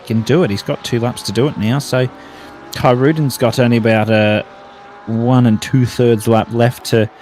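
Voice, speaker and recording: A man's voice: mid-range voice, fairly even intonation, moderate pace, darkish tone, slight background noise. A man with an Australian accent calling a sim race, running through the time gaps and lifting with the on-track battles. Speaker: race commentator. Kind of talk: sim racing commentary.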